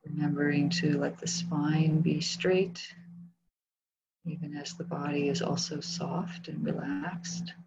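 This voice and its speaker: A woman leading a guided meditation as a teacher, speaking calmly and gently with long pauses in a soft, husky voice. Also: deep voice, very noisy. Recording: dull, muffled lo-fi recording.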